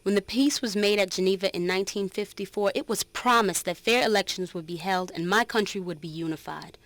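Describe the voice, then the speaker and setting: Woman playing an unapologetic ex-guerrilla woman, lower register, dry close sound, earnest dramatic monologue. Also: fairly bright tone, dry recording. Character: resolute former Viet Cong woman fighter, reflective and unapologetic. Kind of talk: dramatic reading of a written testimony.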